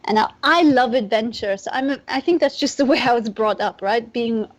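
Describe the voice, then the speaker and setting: A woman's voice: fairly high pitch, fairly quick. A young woman from Nepal and Southeast Asia chatting as a podcast guest, explaining things in a rambling, conversational way. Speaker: interview guest, entrepreneur.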